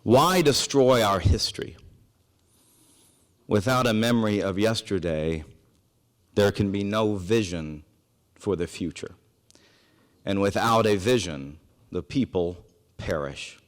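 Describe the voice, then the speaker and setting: A man from South Carolina hosts a formal event with a prepared speech. His voice is on the low side and his oratory is earnest, emphatic and deliberate, carrying long hall reverb. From South Carolina, United States. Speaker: event host introducing a speaker. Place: indoor event hall with audience.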